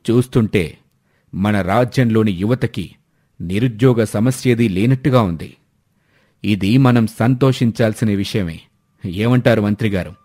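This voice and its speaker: A man voicing a calm, benevolent king who speaks formally in a cartoon moral story. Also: on the low side. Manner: stately, formal.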